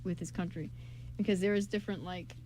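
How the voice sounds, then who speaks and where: A woman's voice: on the low side, fairly even intonation, fast, dark tone, rough recording. A woman co-hosting an amateur radio show in casual, informal, rambling chat. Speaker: radio show co-host and writer. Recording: amateur recording with background noise.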